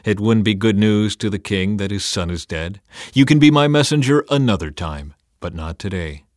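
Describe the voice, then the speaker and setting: A man voicing a stern, blunt military commander with an insistent, forceful delivery in a scripture audiobook. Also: on the low side, lively intonation, normal speed, no echo, clean studio sound, close to an everyday voice. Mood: firm.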